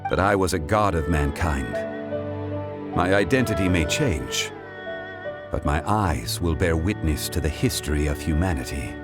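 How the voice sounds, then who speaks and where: A man playing a calm ancient god in human guise in a video game, speaking in a measured, formal way. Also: deep voice. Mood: solemn, reflective. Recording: music playing behind the voice.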